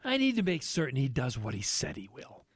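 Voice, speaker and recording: A man playing a sly, sinister hypnotist schemer in an audio drama, coaxing with drawn-out words. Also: medium pitch, wildly swooping pitch, husky, no echo, clean studio sound.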